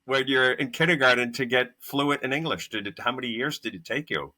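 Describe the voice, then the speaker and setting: A man's voice: mid-range voice, a little fast, bright, forceful tone. A man hosting a podcast interview, asking loose, rambling questions full of fillers. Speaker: podcast host.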